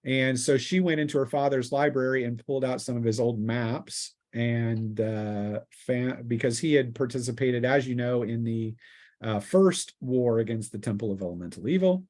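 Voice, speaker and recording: A man with a medium-pitched voice acts as game master for a tabletop session. He narrates the story and sorts out rules in a casual, explanatory manner, feeling his way through sentences with a halting delivery. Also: darkish tone.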